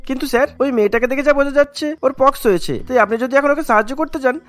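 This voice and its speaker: Man voicing a very hurried, fretful cartoon driver. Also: very high-pitched, faint music behind. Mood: worried. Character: dismissive, worried townsfolk refusing to help. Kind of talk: cartoon story dubbing.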